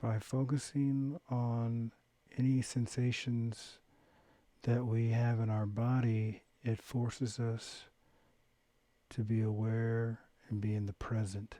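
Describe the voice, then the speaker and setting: A man leading a guided meditation, giving gentle, unhurried instructions with long pauses between phrases. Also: mid-range voice, level tone, dry recording. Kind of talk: guided body-scan meditation, calm instruction.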